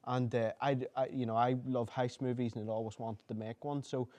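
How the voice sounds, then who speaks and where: A man's voice: mid-range voice, little pitch movement, fairly quick, mellow. A man, a filmmaker on a festival panel, talking through his process with many restarts and fillers. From Northern Ireland. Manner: rambling, lots of restarts and "you know". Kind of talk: panel discussion.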